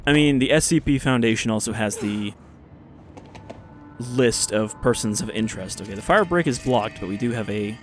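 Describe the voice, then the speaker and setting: A young man commentating on a game as a let's play host, rambling casually and reading in-game documents out loud. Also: lowish voice, lively intonation, normal speed, faint music behind. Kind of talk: gameplay commentary, reading in-game files aloud.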